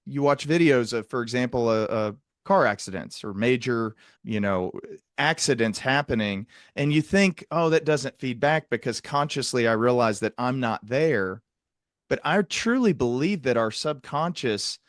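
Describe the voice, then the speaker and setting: A man hosting a conversational interview in a reflective way, building long, qualified sentences with pauses. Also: fairly low pitch, dry recording, clean studio sound. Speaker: podcast host, psychiatric provider.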